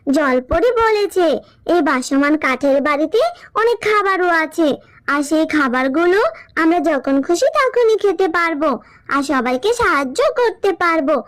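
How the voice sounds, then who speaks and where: A woman's voice: extremely high pitch, animated, normal speed, fairly bright tone, dry recording. A woman voicing a sweet, earnest little girl with a plaintive childlike manner in a children's cartoon. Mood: eager. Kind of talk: Bengali children's cartoon acting.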